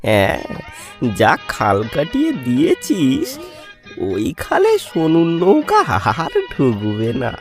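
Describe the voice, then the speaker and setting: Mischievous, crude young Bengali male sidekick, played by an actor, animated jeering cartoon delivery, music underneath. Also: medium pitch, takes it slowly, heavily acted. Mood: leering. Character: crude teasing young male village buddy. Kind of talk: comedy cartoon voice acting. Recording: loud music behind the voice.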